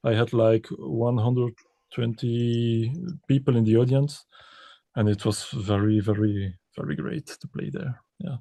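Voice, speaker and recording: A man from Belgium, a composer and pianist, answering interview questions in halting with many restarts and repeated words. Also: medium pitch, steady tone, gravelly, dark tone, no room sound.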